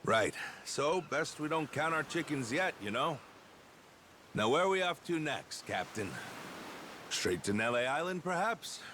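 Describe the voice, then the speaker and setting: A man playing a measured, wistful treasure-hunting crewmate in a video game, polite and conversational. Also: fairly high pitch, lively intonation, bright, forceful tone, slight background noise. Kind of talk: video game cutscene acting.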